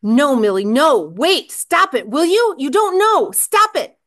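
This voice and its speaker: A woman's voice: high-pitched, very animated, measured pace, a bit bright, pitched above the performer's own voice, heavily acted. A woman voicing a desperate, agitated husband in a novel reading, speaking with urgent, earnest pleading. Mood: frantic.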